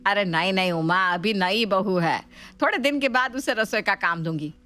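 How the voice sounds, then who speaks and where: A woman's voice: mid-range voice, moderate pace, pushed and bright, soft background music, close to an everyday voice. A woman voicing an authoritative middle-aged mother-in-law in a household story, commanding and matter-of-fact.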